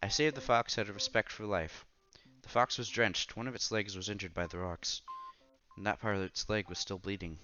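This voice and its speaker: A man reading story narration aloud with expressive, theatrical reactions as he hosts a visual novel playthrough. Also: on the low side, moderate pace, highs cut off.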